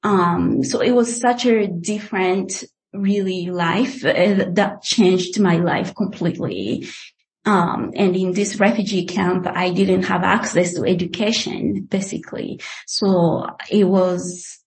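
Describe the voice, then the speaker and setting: A young woman from the Democratic Republic of Congo speaks as an interview guest. She talks slowly and unhurriedly, pausing often and filling gaps with soft hesitations as she recounts her own story. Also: lowish voice, gravelly, rough recording. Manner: slow, hesitant, many pauses and fillers. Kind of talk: personal life story in an interview.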